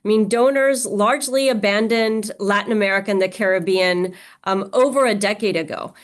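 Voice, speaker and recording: Brief: woman, mid-pitched, earnest and measured, conversational interviewer with fillers. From Pakistan, raised in Mexico. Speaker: interviewer, organization leader.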